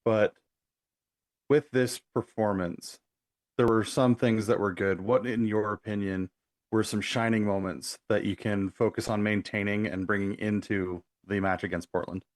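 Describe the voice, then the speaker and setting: Low, even-toned male reporter. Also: moderate pace, no room sound. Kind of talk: press conference question.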